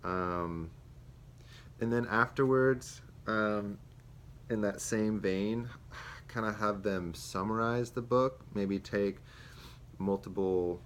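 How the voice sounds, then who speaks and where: A young man, a student teacher, reflecting aloud on a lesson he taught, with many pauses and fillers. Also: on the low side. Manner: hesitant, many ums and restarts. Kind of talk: spoken self-reflection. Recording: faint background noise.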